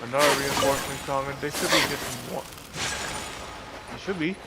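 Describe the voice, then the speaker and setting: A man livestreaming a game with casual, halting commentary, thinking aloud as he plays. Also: medium pitch, fairly even intonation, very noisy. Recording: loud game music behind the voice. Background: loud game music.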